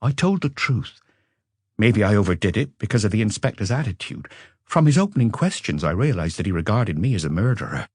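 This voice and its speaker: A man's voice: fairly low pitch, sing-song, a little fast, darkish tone, husky, no echo, studio quality. A man voicing a weary businessman under police questioning, earnest, wordy and self-justifying, in an audiobook. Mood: defensive.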